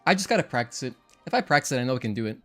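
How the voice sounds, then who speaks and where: A man's voice: medium pitch, big pitch swings, racing, faint music behind. Chatty young man streaming a game. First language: Spanish. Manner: chatty, thinks out loud, counts combo hits. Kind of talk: casual gameplay commentary.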